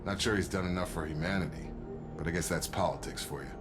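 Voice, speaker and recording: A male actor plays an earnest soldier from a video game, conscientious and plain-spoken. His voice sits low and his delivery is informal but steady. Music plays clearly behind him. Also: fast talker, natural voice. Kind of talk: video game cutscene acting.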